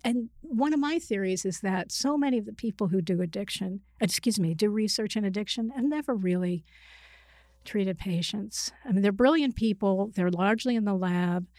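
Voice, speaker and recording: A woman's voice: mid-range voice, normal speed, darkish tone, dry recording, clean studio sound. A middle-aged or older woman speaking as an expert interview guest, reflective and hesitant, often correcting herself mid-sentence. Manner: hesitant, hedging, self-correcting. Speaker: psychiatrist and author, interview guest.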